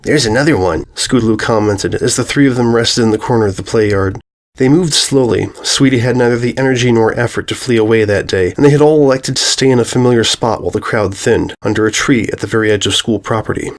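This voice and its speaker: A man's voice: medium pitch, fast. A man reading scripted story narration as an audiobook narrator.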